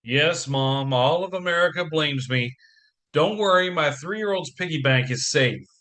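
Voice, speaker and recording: A man's voice: a little high, a little slow, bright, forceful tone. A man acting in an audio play as a harried, wry, affectionate businessman father with dry sarcasm. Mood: sarcastic.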